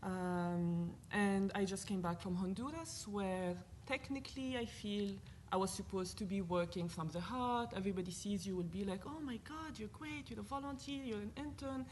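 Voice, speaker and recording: A woman's voice: mid-range voice, normal speed, hardly any pauses, a little hiss or hum. Earnest Lebanese-accented woman panelist. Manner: earnest, animated, thinks aloud with fillers. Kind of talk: panel discussion talk. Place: university panel event.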